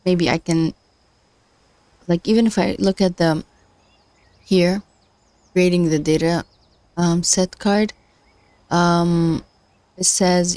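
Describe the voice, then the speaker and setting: Woman on a coding stream, voice going hoarse, slow and halting, dry close sound. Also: on the low side, a little mellow, no echo. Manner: hesitant, many fillers and restarts, talks to herself. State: losing her voice. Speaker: livestream host learning machine learning. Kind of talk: casual screen-share livestream, thinking aloud.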